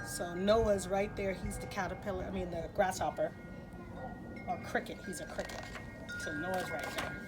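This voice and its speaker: A woman's voice: lowish voice, steady tone, normal speed, music in the background. A woman hosting story time for children, reading a picture book expressively with chatty asides. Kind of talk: picture-book read-aloud with casual asides.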